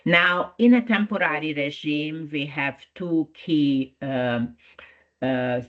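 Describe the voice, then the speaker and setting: A woman with a Hungarian accent, a principal lawyer presenting a webinar in an unhurried way, with frequent fillers and restarts. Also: low-pitched, narrow, tinny audio.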